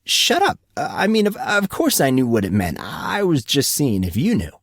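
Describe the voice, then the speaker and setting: A man's voice: medium pitch, wildly swooping pitch, moderate pace, husky, no room sound, clean studio sound, pitched above the performer's own voice. A man voicing a sarcastic, easily flustered teenage boy student in an audiobook story, casual and defensive.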